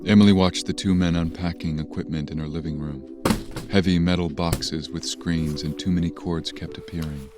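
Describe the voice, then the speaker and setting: An actor playing a storyteller, giving scripted narration in an audio drama. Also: male voice, deep voice, fairly even intonation, normal speed, lightly acted. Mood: measured. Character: male storyteller narrating an eerie case. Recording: music behind narration.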